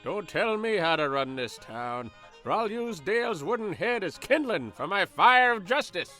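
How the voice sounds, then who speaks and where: Brief: villainous blustering deputy, sharp high voice, mocking, comic radio play, music underneath. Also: male voice, sing-song, moderate pace, exaggerated voice. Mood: sneering, blustering. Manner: pompous, mocking. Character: loathsome blustering small-town lawman, sneering and pompous.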